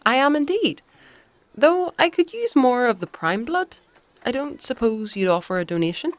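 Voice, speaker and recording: A woman playing a composed fantasy priestess and mentor in a dark audio drama, measured and articulate, with playfully dry wit. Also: on the high side, big pitch swings, dry recording. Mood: gentle, pragmatic.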